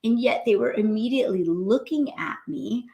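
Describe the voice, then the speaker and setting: A woman from New York hosting a casual spiritual talk for live viewers. She talks in an animated way, often tags her lines with "right?" and laughs a lot. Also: normal speed, creaky. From New York, USA. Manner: acts out quoted voices in a high pitch. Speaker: host, doctor.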